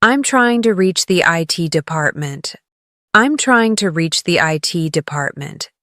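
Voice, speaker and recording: Slow-paced woman teaching English phrases. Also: on the low side, animated, pushed and bright, no echo, clean studio sound. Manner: encouraging coaching. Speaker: English teacher leading shadowing practice. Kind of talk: scripted language lesson, model phrases said twice for repeating.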